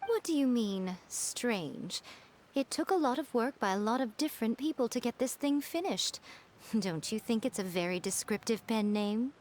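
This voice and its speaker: A woman's voice: fairly high pitch, animated, moderate pace, a little mellow, a little hiss or hum, close to an everyday voice. A woman voicing a poised, self-assured, slyly playful lady publisher in a video game. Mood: teasing. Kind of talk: video game character acting.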